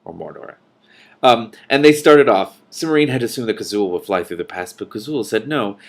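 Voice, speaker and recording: A man with a fairly low voice reads fiction aloud as a host. He shifts between narration and casual, exclamatory reactions in an animated manner. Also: brisk. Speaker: host reading a novel aloud with commentary.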